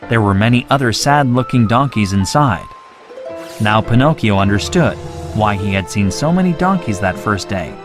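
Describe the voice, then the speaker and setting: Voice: medium pitch, animated, moderate pace, music in the background. A narrator reading a scripted children's story.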